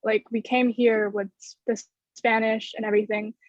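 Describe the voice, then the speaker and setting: A woman in her twenties speaking earnestly and in a measured way as a student panelist on a dull video call. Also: on the high side, little pitch movement, no echo. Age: 20s. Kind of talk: thoughtful panel discussion. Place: bedroom indoors.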